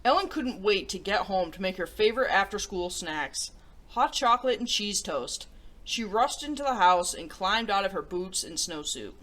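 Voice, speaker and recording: A woman hosting a children's story show, reading aloud in an animated, expressive way. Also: medium pitch, moderate pace.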